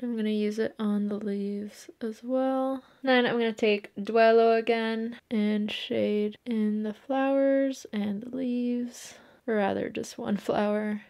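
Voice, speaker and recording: A woman's voice: on the high side, fairly even intonation, slow pace, creaky, hardly any pauses, darkish tone. Chatty young woman narrating her makeup. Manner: chatty, explains each step as she paints. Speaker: makeup artist.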